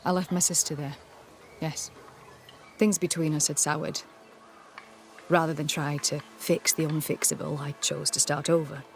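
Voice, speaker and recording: A woman's voice: sits low, little pitch movement, normal speed, creaky, pauses often, soft background music. A woman voicing a proud, pious colonial healer with a prim, curt and formal manner in a video game. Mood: stiff, regretful.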